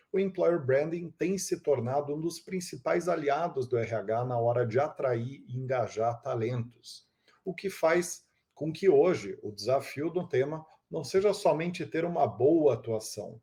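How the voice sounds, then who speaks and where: Man, host, mellow voice, fluent, mid pitch. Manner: fluent, scripted intro then chatty. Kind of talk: podcast hosting.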